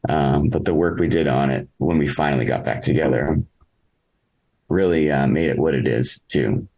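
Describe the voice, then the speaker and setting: Male musician for a podcast interview: very low voice, casual, halting, thoughtful delivery. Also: gravelly, a little mellow, low-quality recording. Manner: halting, reflective, thinking aloud. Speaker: interviewed musician, singer in a band.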